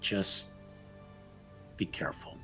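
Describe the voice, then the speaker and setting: A man improvising a protective, quietly disapproving adventurer with a husky voice and terse, cautioning manner. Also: takes it slowly, soft background music. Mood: gentle. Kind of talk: in-character improvised roleplay.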